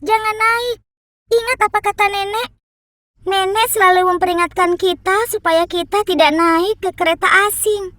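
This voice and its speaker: A woman's voice: very high-pitched, fairly even intonation, moderate pace, fairly bright tone. A woman voicing a cautious, sweet little girl in a children's cartoon, earnest and pleading in manner. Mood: worried.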